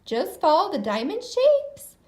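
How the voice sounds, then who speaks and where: A woman's voice: very high voice, huge pitch swings, a little slow, voice pushed up, exaggerated voice. A woman voicing a friendly, cheerful female witch in a children's storybook. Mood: delighted, helpful.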